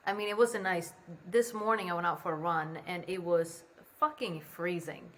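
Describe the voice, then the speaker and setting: Candid low-voiced Swedish-raised woman guest. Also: normal speed. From Sweden. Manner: candid and relaxed, swears freely, lots of "like". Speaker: podcast guest. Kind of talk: casual interview conversation. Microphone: remote call from home.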